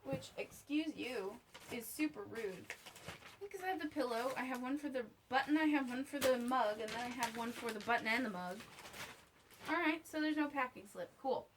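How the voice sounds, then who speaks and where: A woman's voice: high-pitched, lively intonation, normal speed, noisy background. A woman vlogger giving a casual, unscripted review to camera, candid and wry, with conversational asides.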